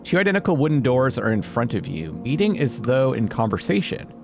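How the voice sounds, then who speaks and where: A man giving let's-play commentary, reading game text aloud dramatically and thinking out loud as he plays. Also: mid-range voice, big pitch swings, a little fast, creaky. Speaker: video game streamer playing a narrative game. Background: music behind the voice.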